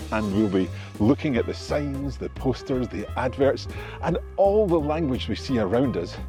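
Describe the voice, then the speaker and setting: A man presenting a video series in an upbeat introductory style. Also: medium pitch. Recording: prominent music under the voice.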